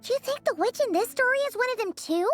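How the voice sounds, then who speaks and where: Game companion sprite: extremely high, squeaky, animated, inquisitive and excitable little girl. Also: fast, faint music behind. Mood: pondering. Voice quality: childlike, squeaky. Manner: exclamatory, inquisitive. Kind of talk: video game character acting.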